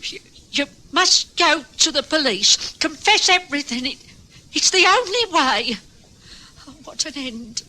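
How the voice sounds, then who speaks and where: Distressed wife, high piercing voice, animated, earnest, faltering, old-time radio drama. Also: female voice, unhurried, heavily acted, poor audio. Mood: distressed, sorrowful. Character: anxious, pleading, loyal wife.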